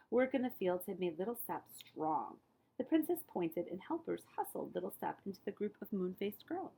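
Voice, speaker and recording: A woman reading a children's story aloud as a librarian, with expressive emphasis and phrases that often fall hushed. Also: medium pitch, creaky, slightly rough, rough recording.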